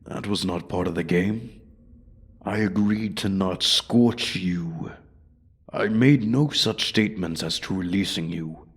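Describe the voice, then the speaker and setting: A man voicing a menacing, condescending dragon who taunts human prey in a fantasy ASMR roleplay, husky and breathy. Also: low voice, slow pace, exaggerated voice. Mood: mocking condescending.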